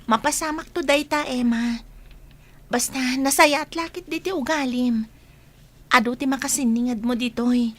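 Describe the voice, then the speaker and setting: A high-pitched, sociable young woman, acted by an actress, welcomes people in a friendly, reassuring way. She is a trainee in a radio drama and has an Ilocano accent. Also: moderate pace, pauses often, fairly bright tone.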